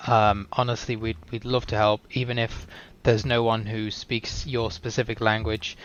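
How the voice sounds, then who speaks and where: A man's voice: on the low side, level tone, moderate pace, muffled recording. A man hosting a casual online round table, speaking in a friendly, affirming, rambling way.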